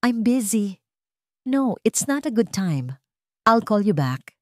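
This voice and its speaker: A woman's voice: mid-range voice, very animated, takes it slowly, no echo, studio quality, voice pushed up. A woman voicing a cold, protective young billionaire man in a romance drama, speaking in a curt, firm and formal way. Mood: formal, annoyed.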